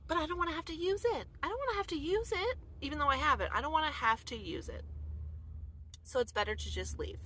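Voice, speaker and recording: A woman in her thirties from the United States telling a personal story in a casual, animated vlog, full of "like" fillers, filmed inside a dark camper van at night. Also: high voice, fast, slight background noise. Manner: chatty, animated, many "like" fillers. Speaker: vlogger.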